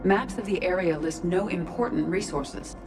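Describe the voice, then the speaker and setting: A woman plays a cool, analytical combat squadmate in a sci-fi game. Her lowish voice is clinical and matter-of-fact, echoing beneath very loud music. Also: moderate pace, close to an everyday voice. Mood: clinical, alert. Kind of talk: video game voice acting. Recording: echoey, loud game score behind.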